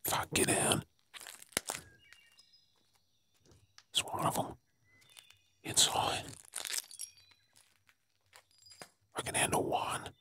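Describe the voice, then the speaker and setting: An Australian man playing a gruff, wary zombie apocalypse survivor in a whispered ASMR role play, terse, urgent and husky. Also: sharp tone. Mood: tense, hushed. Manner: terse, urgent, slow clipped phrases.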